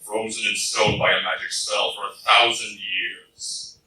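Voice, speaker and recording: A man's voice: fairly low pitch, level tone, measured pace, natural voice. A man playing a solemn, grave gargoyle warrior narrating a radio play on stage, in a fan recording. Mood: solemn, proud. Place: convention panel room, recorded from the audience. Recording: roomy, distant fan recording, noisy.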